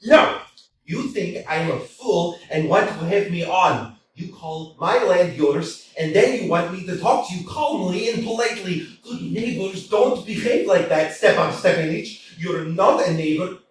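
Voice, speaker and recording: A man's voice: high voice, a little slow, a little hiss or hum. A man playing an irritable older landowner and father in a staged reading, scolding and blustering. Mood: indignant. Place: small theater stage. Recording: echoey hall, mic far off.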